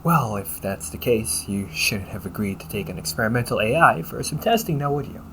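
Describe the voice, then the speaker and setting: Man acting as a lively AI caregiver companion, audible background noise. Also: fairly low pitch, a little fast, low-quality recording. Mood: helpful, chatty. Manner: polite, chirpy, gently nagging, butler-like phrasing. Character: upbeat, teasing male AI caregiver companion, fussy and helpful. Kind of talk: scripted audio roleplay addressed to the listener.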